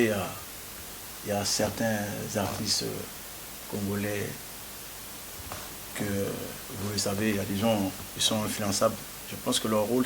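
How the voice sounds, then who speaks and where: A man's voice: fairly low pitch, a little mellow, slight background noise, rough recording. A young man born in France, answering interview questions with frequent hesitations and restarts. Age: young adult. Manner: halting, many hesitations and restarts.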